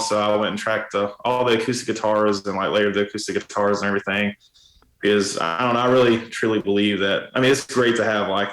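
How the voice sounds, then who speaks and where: A raspy man with a somewhat low voice chats casually as a podcast guest. He rambles along in a relaxed way, full of fillers. His voice rings with a roomy echo. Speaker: musician guest.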